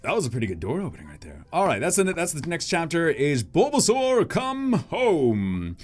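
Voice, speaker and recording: A man's voice: a little high, wildly swooping pitch, moderate pace. A man in his thirties chatting casually and upbeat as a YouTube host, recorded at a desk microphone in a small home studio. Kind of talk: casual upbeat vlog chat. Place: small home studio with acoustic foam panels.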